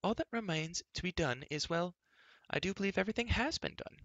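A fussy, good-natured queen, acted out by a man for a storybook. She speaks in a high, bright pitch, effusive and full of motherly fussing. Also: expressive, a little fast, no echo, highs cut off. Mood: delighted, fussing. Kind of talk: acted audiobook dialogue.

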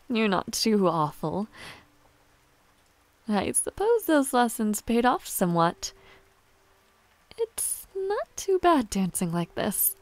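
A woman playing a young noble villainess in a romantic audio roleplay, proud yet shy and tender, speaking softly in formal, halting phrases. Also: a little high, very animated, dry recording, full character voice. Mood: playful, fond.

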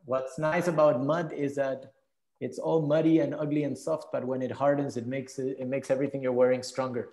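A man's voice: medium pitch, flat delivery, brisk, dark tone. A man in his thirties from Venezuela, answering reflectively as a guest on a video call interview. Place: indoor room, video call.

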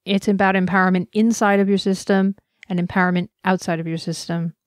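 A woman's voice: on the low side, smooth, no room sound, studio quality. A woman giving a personal, earnest conference talk, speaking with passion and reflection. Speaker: conference presenter.

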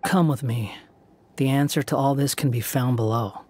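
A man's voice: mid-range voice, normal speed, noisy background, natural voice. An actor playing an aloof, guarded young male wanderer in an anime game, terse and composed. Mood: matter-of-fact.

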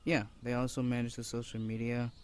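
A shy, bookish young university student, acted by a man in an audio roleplay. He is awkward and earnest, hesitating and stammering in a medium-pitched voice. Faint outdoor ambience with birdsong sits behind him. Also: completely flat delivery, a little fast, a little hiss or hum, lightly acted. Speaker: voice actor. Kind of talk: ASMR boyfriend audio roleplay.

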